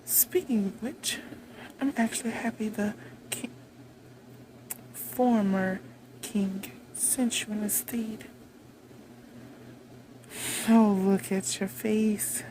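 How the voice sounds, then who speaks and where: A woman playing a haughty, vengeful vampire queen in a roleplay monologue, imperious, taunting and deliberate in delivery. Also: medium pitch, fairly even intonation, dark tone, a little hiss or hum, close to an everyday voice, low-quality recording. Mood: gloating.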